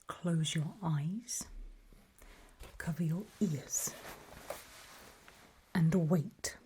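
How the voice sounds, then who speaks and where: A woman playing a calm, faintly sinister guide in a creepy ASMR roleplay, whispering slowly and deliberately with a breathy voice. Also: lowish voice, slight background noise. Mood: soothing, ominous. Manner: slow, deliberate, coolly instructive, softly ominous. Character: calm, faintly sinister female guide briefing people on alien dangers.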